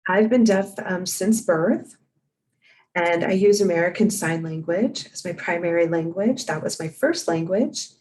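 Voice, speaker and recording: A woman voicing a live sign language interpretation, her tone steady and measured, her pitch a little low. She sits well back from the mic on a video call, so she sounds distant. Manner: measured, steady, spells names letter by letter.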